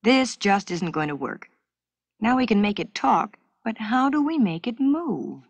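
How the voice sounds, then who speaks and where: A woman's voice: fairly high pitch, big pitch swings, a little slow, no echo, exaggerated voice. Woman as a stubborn, grumbling young boy. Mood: discouraged. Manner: dismissive, complaining.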